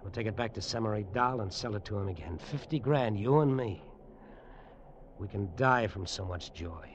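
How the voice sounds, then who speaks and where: A man's voice: on the low side, expressive, normal speed, close to an everyday voice. An actor playing a cynical, menacing tough guy in an old radio drama, clipped, slangy and hard-boiled. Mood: intense, possessive. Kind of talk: old radio drama acting and noir narration. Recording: muffled, noisy old radio recording.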